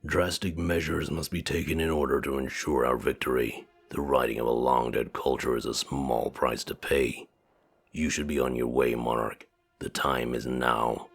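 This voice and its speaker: A ruthless, unbending commander in a visual novel. His voice sits extremely low and husky, each line cold and measured. Faint music runs beneath the dry studio read. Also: steady tone, exaggerated voice. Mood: cold, stern.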